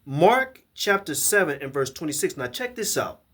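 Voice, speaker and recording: A man's voice: a little high, sing-song, normal speed, a bit bright, slightly rough. A man giving a scripture lesson to a camera, emphatic and often repeating and restarting his words as he reads verses aloud. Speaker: Bible teacher.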